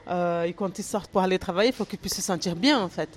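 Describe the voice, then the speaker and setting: A woman's voice: lowish voice, fast talker, slight background noise. A middle-aged woman chef from Brazil with a Brazilian accent, chatting casually while cooking, with many fillers. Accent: Brazilian Portuguese. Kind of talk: casual interview and cooking demonstration.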